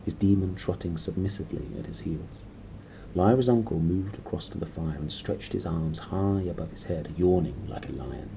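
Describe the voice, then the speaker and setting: A man's voice: low-pitched, dark tone, a little hiss or hum, low-quality recording. A man reading a story aloud expressively. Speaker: reader and host. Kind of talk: expressive audiobook narration with casual asides.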